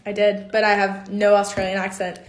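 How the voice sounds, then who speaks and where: A woman's voice: mid-range voice, completely flat delivery, brisk. A 19-year-old woman chatting casually in a playful, teasing way. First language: Dutch. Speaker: guest on sibling's livestream. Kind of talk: casual chat. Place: room with bookshelves at home. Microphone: phone at arm's length. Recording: roomy indoor echo.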